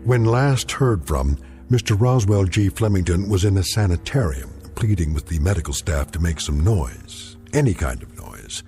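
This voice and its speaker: An actor narrating a radio drama as a sardonic, ominous storyteller with dry, deliberate irony. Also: male voice, low voice, lively intonation, music in the background. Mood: wry, ominous.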